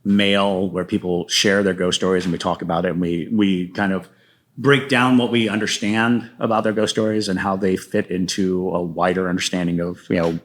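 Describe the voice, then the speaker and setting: A man talking casually as an interview guest, halting as he thinks aloud. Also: sits low, level tone. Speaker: guest playwright and podcaster.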